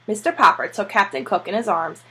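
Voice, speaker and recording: Bright, slightly husky woman reading aloud. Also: medium pitch, brisk. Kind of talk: expressive children's storybook narration.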